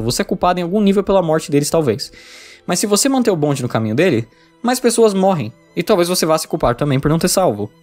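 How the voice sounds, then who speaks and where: A man's voice: sits high, darkish tone. A man with a Brazilian Portuguese accent reading an informal video essay script, conversationally addressing the viewer.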